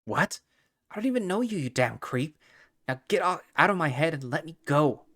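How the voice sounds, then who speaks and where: A man's voice: medium pitch, lively intonation, measured pace, a bit bright, no echo. A man voicing a frightened, defiant young man who curses as he panics. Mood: panicked. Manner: panicked, cursing.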